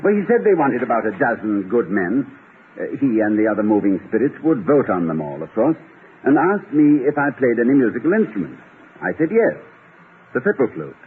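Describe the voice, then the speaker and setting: An actor playing an eager, slightly flustered, genteel middle-aged college president in old-time radio comedy, with a hesitant, courteous, slightly pompous delivery. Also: male voice, medium pitch, very animated, brisk, natural voice. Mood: chatty, pleased. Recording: scratchy, narrow-band old radio broadcast.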